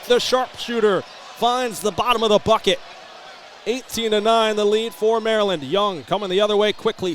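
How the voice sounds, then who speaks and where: An American man doing brisk, descriptive live basketball play-by-play that can rise to excited calls. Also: high-pitched. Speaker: play-by-play sports announcer. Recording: live arena broadcast, background ambient noise. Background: basketball game ambience.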